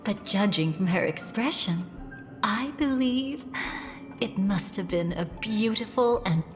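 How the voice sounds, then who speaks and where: A woman voicing a poised, gentle and coy guide in a video game, speaking in a measured, intimate, enigmatic way. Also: mid-range voice, expressive. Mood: reflective. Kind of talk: dramatic video game voice acting. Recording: long reverb, faint music behind. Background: soft background music.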